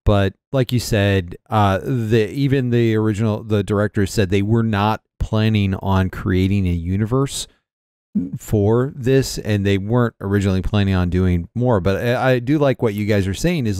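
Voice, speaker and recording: A man's voice: on the low side, a little slow, darkish tone, smooth, no echo, clean studio sound. A man chatting casually as a producer chiming in on a podcast discussion.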